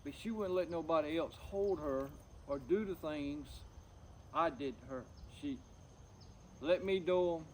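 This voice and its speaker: A middle-aged man talking personally to camera, subdued and grieving, in a halting, plain-spoken, folksy way. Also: a little high, a little mellow, a little hiss or hum. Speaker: outdoors vlogger.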